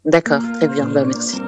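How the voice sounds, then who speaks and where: A young woman with a French accent, chatting in a storytelling way as she answers interview questions as a guest singer. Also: deep voice. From France, Paris region.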